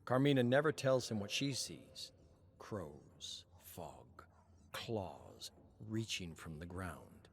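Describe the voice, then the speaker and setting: A man narrating a story in a measured, expressive reading. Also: deep voice, slight background noise. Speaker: lore narrator.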